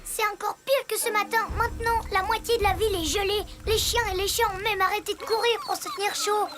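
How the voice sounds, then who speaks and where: An actor voicing an eager, earnest and excitable young child superhero in a cartoon dub. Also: very high-pitched, brisk. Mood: alert. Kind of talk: animated cartoon dub acting. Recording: loud cartoon music behind.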